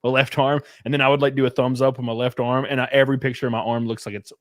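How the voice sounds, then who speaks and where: A man's voice: medium pitch, flat delivery, racing, no echo, studio quality. A man hosting a casual podcast chat, rambling with lots of fillers. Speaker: streamer host.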